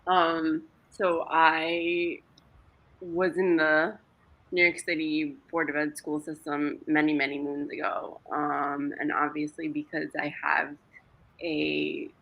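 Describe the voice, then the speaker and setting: A woman in her thirties, a Greek native speaker, talking openly as a podcast guest, with halting restarts. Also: deep voice, level tone, vocal fry, highs cut off. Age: 30s. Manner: open, laughs easily, halting restarts. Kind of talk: casual podcast chat.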